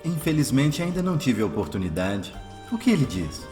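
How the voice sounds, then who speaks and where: A man playing a calm, devout, persecuted healer and dutiful son in a radio drama, earnest and measured. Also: mid-range voice, natural voice. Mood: hopeful, grateful. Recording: roomy echo, faint music behind.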